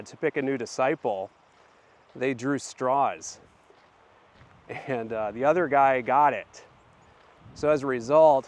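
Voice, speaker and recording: A man hosting a casual walk-and-talk vlog, his voice hoarse and deepened by a recent illness, rambling with many fillers and restarts. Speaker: channel host.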